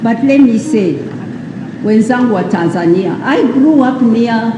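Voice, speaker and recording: Slow, emphatic woman in echoey hall. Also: on the high side, animated, background noise. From Uganda. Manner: slow, emphatic, rhetorical questions. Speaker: speaker at a World AIDS Day event. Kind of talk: formal public speech. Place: large hall at a public ceremony.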